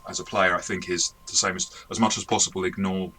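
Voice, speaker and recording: A man's voice: low voice, little pitch movement, fast talker, pushed and bright, slightly rough. A man, the game master and co-host, chatting casually and rambling in a self-correcting way as he reviews a game.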